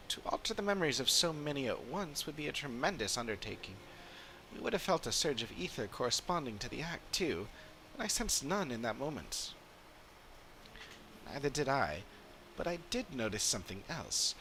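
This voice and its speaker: A man voicing earnest, analytical fantasy adventurers from a video game in measured, formal phrasing. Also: on the high side, big pitch swings, sharp tone, a little hiss or hum, exaggerated voice, low-quality recording. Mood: earnest, puzzled. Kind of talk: dramatic read-aloud of game dialogue.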